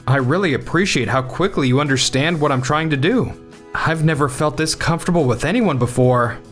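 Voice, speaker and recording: Animated, earnest young businessman played by a man. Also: a little high, fairly quick, faint music behind, lightly acted. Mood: warm, appreciative. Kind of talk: anime-style manga dub acting.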